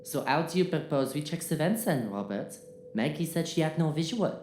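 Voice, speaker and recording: A woman playing a reasoning, coaxing spaceship crew member in a sci-fi audio drama, deliberate and gently wry. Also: low-pitched, expressive. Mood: curious, reasoning. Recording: echoey room with faint noise.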